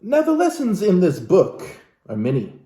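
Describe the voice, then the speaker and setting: Casting: man, mellow and fairly high-voiced, florid mock-genteel host reading a book aloud for laughs, dramatic pauses. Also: huge pitch swings, slight background noise. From Alaska. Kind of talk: comedic theatrical book reading with asides to viewers. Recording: roomy, echoey room sound.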